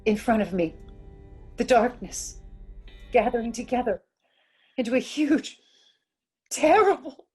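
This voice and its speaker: High-voiced, frightened haunted heroine. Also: female voice, lively intonation, warm tone, slightly rough, faint music behind. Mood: terrified. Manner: breathless, halting, distraught. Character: frightened, fragile haunted young woman. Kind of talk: old-time radio melodrama acting.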